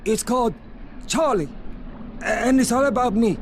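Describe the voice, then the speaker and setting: A man's voice: very high voice, measured pace, gravelly, a little hiss or hum, full character voice. An actor playing a childlike, stubborn grown man devoted to his mother, who repeats himself in simple phrases. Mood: stubborn, earnest. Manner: repetitive, sing-song rhyming, simple childlike phrasing.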